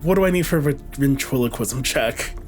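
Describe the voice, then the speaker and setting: A man's voice: mid-range voice, a little fast, faint music behind. A man chatting casually as a player at a tabletop game, thinking aloud and bantering.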